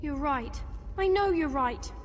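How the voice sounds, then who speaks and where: A woman voicing a spirited young female adventurer in a video game, chatty, earnest and wryly expressive. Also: high voice, a little slow, long reverb tail, a little hiss or hum. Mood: resolved, earnest. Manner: expressive, wry. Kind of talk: video game character dialogue.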